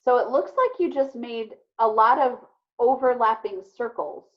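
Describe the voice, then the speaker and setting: A woman's voice: on the high side, a little slow. A woman teacher talking casually and encouragingly to children on a video call, often praising and affirming them. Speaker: elementary teacher hosting an online read-aloud. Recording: muffled, band-limited video call audio.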